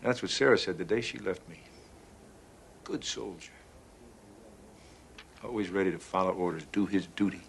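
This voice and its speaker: An actor playing a weary, dutiful middle-aged military pilot with clipped, resigned speech in a science fiction TV drama. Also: male voice, sits low, normal speed, creaky, long pauses, a little hiss or hum. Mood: bitter resolve.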